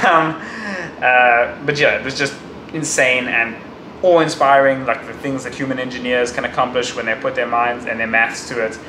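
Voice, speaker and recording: A man's voice: mid-range voice, moderate pace, roomy sound. A man vlogging, talking casually with fillers as he recaps a trip, recorded outdoors on a handheld camera. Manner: excited shouting and cheering during launch. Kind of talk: casual travel vlog. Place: outdoors on a crowded beach. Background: crowd cheering and outdoor noise.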